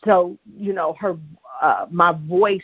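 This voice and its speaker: A woman's voice: fairly low pitch, slow, husky, no echo, lo-fi sound. A woman pastor, preaching to callers and calling for responses on a live prayer line. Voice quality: strong voice. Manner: preachy call-and-response with the listeners. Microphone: phone line.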